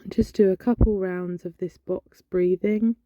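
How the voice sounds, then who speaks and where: A woman leading a guided body scan meditation in a gentle, soothing, instructional manner. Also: mid-range voice, fairly even intonation, unhurried. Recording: dull, lo-fi, roomy echo.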